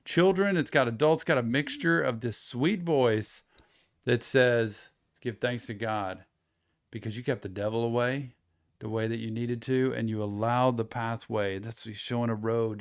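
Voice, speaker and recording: A man hosting a music reaction, slightly hoarse from losing his voice, eagerly repeating lines back and affirming them. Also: mid-range voice, no echo, studio quality. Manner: enthusiastic, repeats lyrics back and affirms with yeps. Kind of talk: casual music reaction commentary.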